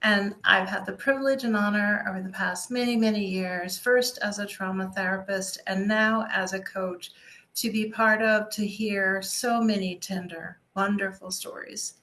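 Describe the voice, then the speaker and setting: A woman speaker and coach giving a scripted personal testimony as a story, with deliberate, emphatic pauses. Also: mid-range voice, fairly even intonation, fairly bright tone, poor audio.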